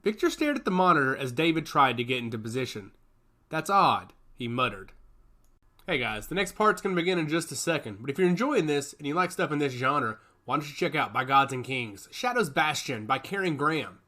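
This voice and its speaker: Animated male story narrator. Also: on the high side, brisk. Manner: expressive storytelling. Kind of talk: audiobook narration.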